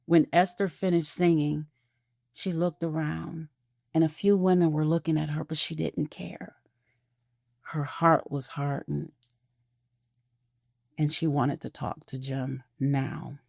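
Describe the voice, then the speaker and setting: Low-voiced woman narrating a drama. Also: steady tone, vocal fry, a little mellow, dry recording, studio quality. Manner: measured storytelling. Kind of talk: scripted audio drama narration.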